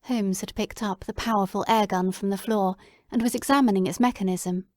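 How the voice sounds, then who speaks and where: A woman narrating a classic story in a measured, descriptive way. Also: mid-range voice, fairly even intonation, a little mellow, dry recording, studio quality. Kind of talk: audiobook dramatic reading.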